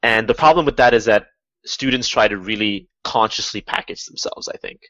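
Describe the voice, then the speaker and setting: Young man, self-mocking, halting speech, dry close sound, lo-fi. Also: lowish voice, pushed and bright, no room sound. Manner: self-deprecating, hesitant, many fillers. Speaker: guest. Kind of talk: casual interview. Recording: dry, dull lo-fi audio.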